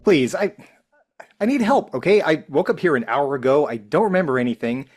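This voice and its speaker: A man playing a bewildered, frightened office newcomer in a script table read, halting and pleading. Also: a little high, expressive. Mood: panicked, agitated.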